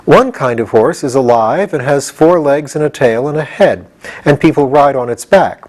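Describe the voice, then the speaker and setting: A man's voice: medium pitch, moderate pace, a little mellow, lightly acted. A man voicing an earnest, practical young boy in a storybook, explaining things matter-of-factly with a touch of impatience.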